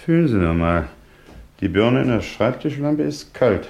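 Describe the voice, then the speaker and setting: Ein Schauspieler spielt in einem Krimihörspiel den diensteifrigen, sachlichen und neugierigen Assistenten eines Kriminalrats. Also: male voice, mid-range voice, lively intonation, normal speed, gravelly, a little mellow. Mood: matter-of-fact. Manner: dutiful, matter-of-fact, inquisitive. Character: eager, deferential male police assistant to a superintendent. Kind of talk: German radio crime play acting.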